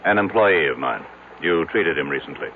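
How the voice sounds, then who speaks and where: A man's voice: a bass voice, lively intonation, moderate pace, narrow, tinny audio. An actor playing a skeptical, arrogant businessman in an old-time radio drama, brusque and insistent in formal diction. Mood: impatient, incredulous. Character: skeptical, arrogant rich businessman, demanding rational answers. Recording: noisy, band-limited vintage radio drama recording.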